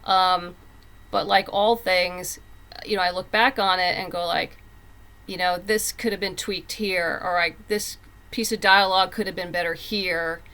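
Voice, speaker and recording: Woman podcast guest chatting, kinda low pitch but bright punchy voice, repeats herself a bit. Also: steady tone, a little slow. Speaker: guest comic book writer. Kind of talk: casual podcast interview conversation.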